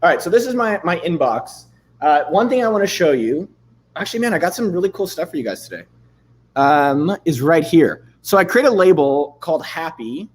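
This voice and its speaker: Man, thirties, livestream host, slightly higher voice, hoarse, loose rambling talk. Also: sing-song, moderate pace. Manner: loose and rambling, swears casually. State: losing his voice. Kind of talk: casual livestream chat with screen demos.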